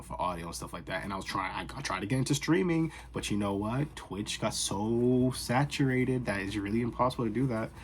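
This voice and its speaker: Animated young man ranting into a phone. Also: lowish voice, a little hiss or hum. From Boston area. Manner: animated, sarcastic, jumps between loud outbursts and whispers. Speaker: fragrance vlogger. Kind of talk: casual rambling vlog. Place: his bedroom. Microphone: phone camera.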